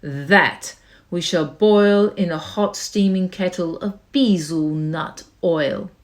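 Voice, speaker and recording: A woman's voice: lowish voice, slow pace, pitched below the performer's own voice. A woman voicing a scornful, bossy big kangaroo in a storybook, scolding in a haughty, snapping way. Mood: threatening.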